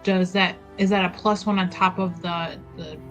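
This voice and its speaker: A woman playing in a tabletop game, chatty and giggly, thinking her plans out loud. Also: fairly low pitch, little pitch movement, normal speed, over music. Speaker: player. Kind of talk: tabletop roleplay chat.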